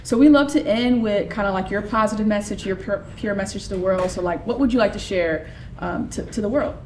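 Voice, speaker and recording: A woman's voice: medium pitch, fairly quick, slight background noise. Upbeat woman interview host. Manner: upbeat, encouraging. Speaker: podcast host, emcee. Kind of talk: casual interview and stage introduction. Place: event venue.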